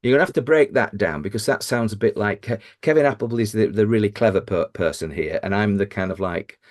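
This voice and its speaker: A man's voice: fairly low pitch, fast. A man co-hosting a podcast, who asks questions in a joking, rambling way full of restarts. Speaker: podcast co-host. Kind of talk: casual interview chat.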